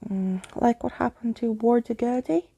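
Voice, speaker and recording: A woman's voice: a little high, moderate pace, gravelly, dark tone, slightly rough, pitched above the performer's own voice. A woman voicing a timid, bewildered young boy in a storybook, speaking hesitantly. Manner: hesitant, stammering.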